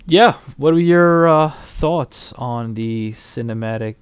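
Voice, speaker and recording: A man's voice: mid-range voice, slow, clear, resonant tone, no room sound. A male co-host chats casually about films with very little room sound around his voice. He jokes around and swears freely, talking as if hanging out with a friend. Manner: profane, joking. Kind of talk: casual chat, film review banter.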